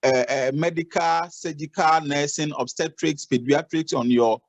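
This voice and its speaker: A man's voice: a little high, fairly even intonation, normal speed, dry recording. A man gives advice on a video call, often repeating and restarting his phrases. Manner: repetitive, restarts phrases, advisory. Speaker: nursing adviser on the call. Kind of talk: informal video call explanation.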